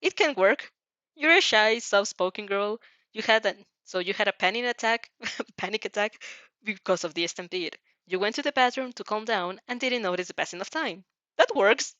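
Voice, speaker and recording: Woman, expressive story read-aloud, informal casual reactions, occasional stumbles over sentences, dry close sound, slightly dull recording. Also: mid-range voice, normal speed, no room sound. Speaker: fan fiction reader reacting as she reads.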